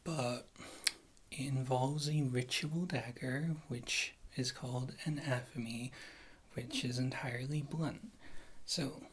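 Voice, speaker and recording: A wry, thrifty witch healer played by a woman murmurs softly in an ASMR fantasy roleplay, often dropping into a whisper. The delivery rambles casually and dryly reassures, over clearly audible background noise. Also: a bass voice, steady tone, slow. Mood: chatty, reassuring. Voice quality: soft, often slipping into a whisper.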